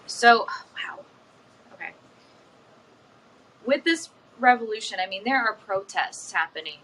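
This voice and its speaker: A woman's voice: mid-range voice, sharp tone, slight background noise, poor audio. Curious woman TV reporter. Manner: conversational, curious questioning. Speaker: TV news reporter. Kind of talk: TV news interview.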